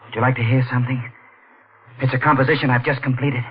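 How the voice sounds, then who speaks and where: An actor playing a courteous, self-absorbed composer in a 1940s radio thriller, speaking in formal, polite phrases. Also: male voice, mid-range voice, level tone, fast talker, natural voice. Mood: polite, eager. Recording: muffled, slightly noisy old radio recording.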